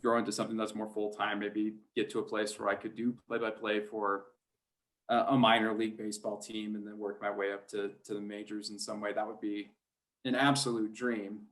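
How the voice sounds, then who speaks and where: A man's voice: fairly low pitch, little pitch movement, a little fast, a bit bright, rough recording. A man who works as a play-by-play announcer, chatting casually and without affectation in an interview. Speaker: high school sports radio play-by-play announcer.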